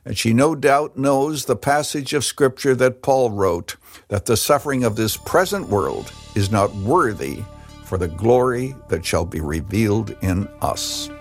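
A man's voice: medium pitch, lively intonation, a little slow, soft background music. A man closing a sermon in a solemn, emotional preaching manner. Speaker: pastor. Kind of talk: sermon conclusion.

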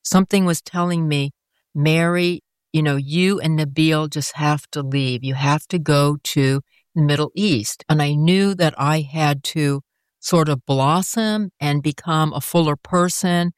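A woman's voice: deep voice, unhurried, clear, resonant tone, no echo, clean studio sound. An older woman, an author, recounting her life in an interview, earnest and reflective.

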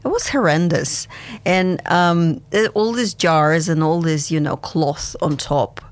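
A woman speaks as an interview guest. Her voice sits very low and she talks slowly. She thinks aloud as she goes, with plenty of fillers and restarts. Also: no echo. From Kazakhstan and Russia. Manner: reflective, unhurried, with many fillers and restarts. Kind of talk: conversational interview answers.

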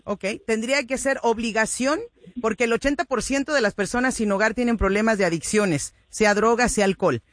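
Fast-talking bilingual woman host. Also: on the high side, no room sound, studio quality. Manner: summarizes answers in Spanish, many fillers. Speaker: radio host and interpreter. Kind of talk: bilingual interview hosting.

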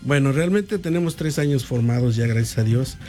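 A man's voice: mid-range voice, animated, moderate pace, dark tone, faint music behind. A middle-aged man from Puebla with a Mexican accent, a humble and chatty band leader talking about his group.

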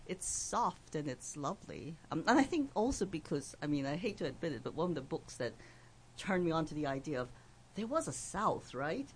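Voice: mid-range voice, sing-song, moderate pace, a little hiss or hum, rough recording. A fiction writer who came to the US from abroad, talking playfully on a panel and laughing often. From outside the US. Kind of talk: conversational panel talk.

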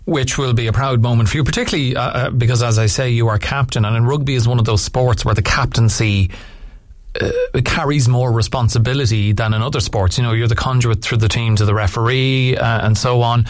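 A man's voice: mid-range voice, steady tone, a little fast, dry recording. Man, radio host, hesitant with ums and restarts, dry close sound. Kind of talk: radio interview.

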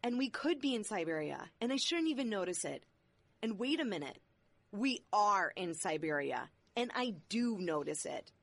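A blunt, sarcastic young bride, played by a woman in an audio drama, her pitch swinging widely as she complains. She sounds fed up and quick to grumble. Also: sing-song, gravelly, fairly bright tone, dry recording, full character voice. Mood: exasperated. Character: exasperated young American bride on honeymoon.

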